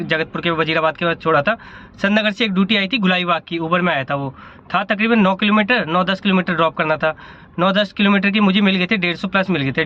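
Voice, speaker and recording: A man's voice: high voice, pushed and bright, dull audio, slight background noise. A man, a ride-hailing driver, vlogs casually from his car and a fuel station, rambling and correcting himself, with a slightly sore throat. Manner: chatty, rambling with self-corrections. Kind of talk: casual vlog narration.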